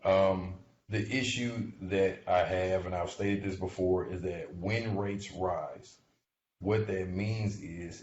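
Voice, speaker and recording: Low-voiced guy talking thru company stuff, kinda halting and chatty, roomy echo, some hiss n noise. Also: a little mellow. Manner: halting, conversational, often checks in with "right?" and "okay?". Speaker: investment commentator. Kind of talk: informal explanatory commentary on a company. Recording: muffled, noisy audio in echoey room.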